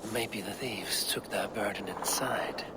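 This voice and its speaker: Man acting a low, brooding Norse god wanderer. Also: very noisy, natural voice. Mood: musing, hushed. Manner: hushed, measured, archaic solemn phrasing. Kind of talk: video game character monologue, musing aloud. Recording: loud game ambience behind the voice.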